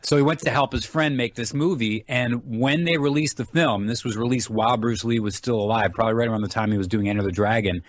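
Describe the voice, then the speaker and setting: A man's voice: medium pitch, fast, few pauses, no room sound. A man speaking as an interview guest, telling stories casually with informal enthusiasm. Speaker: guest, film writer and enthusiast.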